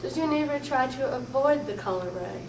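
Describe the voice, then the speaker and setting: A woman's voice: sits high, expressive, normal speed, heavy background noise. A teenage girl acting in a mock vintage commercial in a classroom, with an eager, exaggerated delivery. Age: teens. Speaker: student actor in a mock ad. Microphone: far camera mic. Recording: echoey room, distant camera audio.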